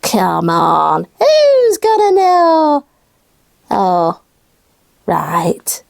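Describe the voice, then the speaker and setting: Slow, lively woman as a playful puppet sidekick. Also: medium pitch, creaky, full character voice. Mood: cheeky teasing. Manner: teasing, playful. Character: cheeky, playful puppet sidekick co-host on a kids' show. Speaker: co-host. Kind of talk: scripted children's comedy presenting.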